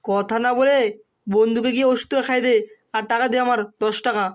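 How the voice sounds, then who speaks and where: A man's voice: very high voice, fairly even intonation, brisk. Bossy cartoon medicine seller, acted by a man. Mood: reassuring, bossy. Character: bossy older male village medicine shopkeeper. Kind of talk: comic cartoon voice acting.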